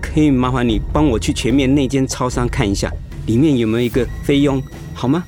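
An actor playing a gravely ill, despondent family man in a radio drama, speaking in a halting, weary, apologetic way. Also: mid-range voice, darkish tone, faint music behind, lightly acted. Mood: helpless. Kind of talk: Mandarin radio drama acting.

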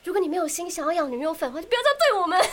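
A woman with a Taiwanese Mandarin accent chatting casually in an animated, giggly way. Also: very high-pitched, very fast, heavy background noise. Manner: animated, giggly fangirl talk. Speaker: podcast co-host. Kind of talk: casual chat.

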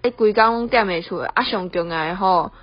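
A woman's voice: medium pitch, brisk, natural voice. A young woman with a Taiwanese accent voicing a bossy, scolding middle-aged mother-in-law in an amateur radio play, nagging as she switches between Hokkien and Mandarin. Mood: nagging, disdainful. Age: young adult. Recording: dull, lo-fi, roomy home recording.

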